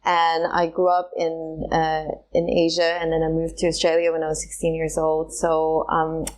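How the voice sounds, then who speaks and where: Low, flat-voiced woman speaking thoughtfully. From Singapore. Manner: thoughtful, halting, many pauses and fillers. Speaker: guest, somatic trauma specialist. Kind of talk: reflective interview answers.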